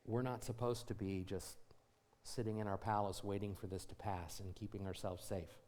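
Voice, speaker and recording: A man's voice: lowish voice, fairly even intonation, normal speed, a little mellow. Preaching man, rising to exhortation. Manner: asks the congregation rhetorical questions. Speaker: pastor. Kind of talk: sermon. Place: church sanctuary.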